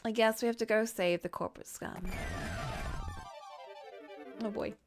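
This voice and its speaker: A woman's voice: fairly high pitch, steady tone, measured pace. A woman streaming a video game, playfully reading dialogue aloud and exclaiming in a theatrical way. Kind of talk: live game playthrough with dramatic reading of on-screen dialogue. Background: video game music.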